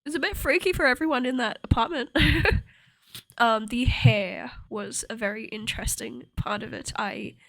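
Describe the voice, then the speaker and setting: A young woman vlogging in a chatty, rambling way, with a slightly croaky voice and frequent laughter. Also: on the high side, moderate pace, a bit bright, no room sound. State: a little croaky. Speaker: cosplay vlogger. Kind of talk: casual chat to camera.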